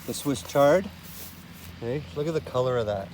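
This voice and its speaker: A man's voice: mid-range voice, animated, normal speed, darkish tone, slight background noise, low-quality recording. A man with a sniffly cold, casually walking through his garden in the evening and talking about his plants, with lots of fillers and restarts. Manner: rambling, with frequent fillers and restarts. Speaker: gardening vlogger. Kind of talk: casual walk-through narration. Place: outdoor garden plot in the evening.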